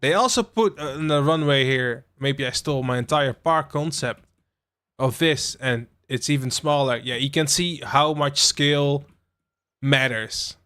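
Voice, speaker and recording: A young man from the Netherlands hosting a relaxed game livestream, rambling with lots of fillers and asides to his chat. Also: medium pitch, a little slow, clean tone. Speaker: livestream host. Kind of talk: casual live-stream chat while playing a game.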